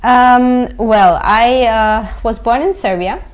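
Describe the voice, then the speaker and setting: Woman with a halting delivery. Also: on the high side. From Germany. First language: German. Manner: friendly, halting, many fillers. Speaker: podcast producer.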